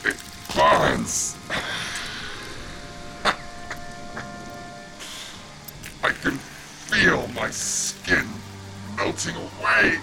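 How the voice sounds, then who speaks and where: A man's voice: mid-range voice, huge pitch swings, extremely slow, vocal fry, over music, full character voice, rough recording. An actor playing an aging, fanatical alien king and father in a sci-fi audio drama, declaiming solemnly and grandly. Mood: agonized, defiant.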